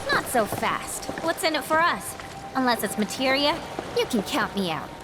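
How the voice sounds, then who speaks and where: A woman playing a brash, mercenary young female adventurer in a video game, with a sassy, expressive delivery. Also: sits high, normal speed, heavy background noise. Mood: cocky, excited. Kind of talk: video game cutscene acting. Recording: loud music under the voice.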